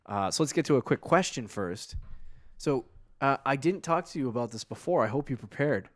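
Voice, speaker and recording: A man's voice: mid-range voice, expressive, brisk, no room sound. A man from New England co-hosting a casual fantasy football podcast, loose and laughing, often trailing off and restarting. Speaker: podcast co-host. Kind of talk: casual sports chat.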